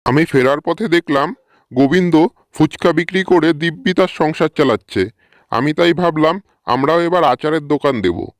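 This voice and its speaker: A man's voice: fairly high pitch, moderate pace, no echo, studio quality, natural voice. A man voicing a humble, hard-pressed village farmer in a cartoon, plain and earnest.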